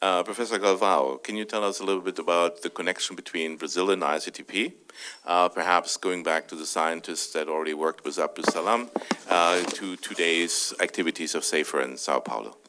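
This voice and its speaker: A man's voice: sits low, brisk, sharp tone, a little hiss or hum. A man moderating a panel discussion, handing over to the next speaker with brief courteous transitions.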